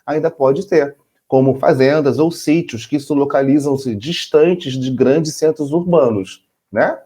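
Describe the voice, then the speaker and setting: A man's voice: on the high side, measured pace, breathy edge. A middle-aged man from Brazil co-hosting a casual chat, explaining with rhetorical questions to the audience. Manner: relaxed, chatty, says "entendeu?" often. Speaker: co-host.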